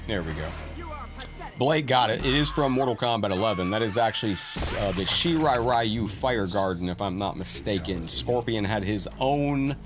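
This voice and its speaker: A man's voice: on the low side, animated, moderate pace. A man hosting a live gaming stream, chatting and greeting viewers by name while he plays, his voice a little scratchy from a cold. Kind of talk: casual gameplay commentary, greeting chat. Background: game music.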